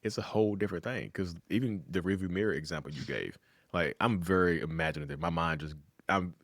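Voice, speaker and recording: A man hosting a podcast in a casual conversational interview, animated, often acting out quoted voices. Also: low voice, fairly quick, no room sound. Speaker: podcast host.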